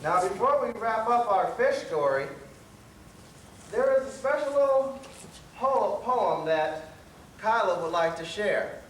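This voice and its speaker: A man's voice: high-pitched, expressive, a little slow, pauses often. A man narrating a children's story on stage as the storyteller. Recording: echoey stage, distant mic, faint noise.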